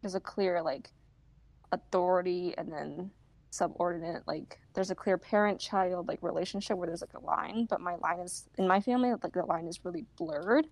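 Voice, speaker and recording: Young woman, lower-leaning pitch, informal halting chat, dry room-free recording. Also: no room sound. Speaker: podcast co-host. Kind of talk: casual chat.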